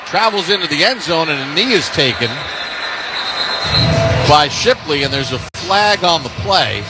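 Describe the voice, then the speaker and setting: A man with a somewhat high voice announces football on the radio. He speaks in measured, clipped descriptive phrases, with music clearly mixed in behind him. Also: lively intonation, low-quality recording. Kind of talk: sports play-by-play commentary with scripted sponsor reads. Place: stadium broadcast booth.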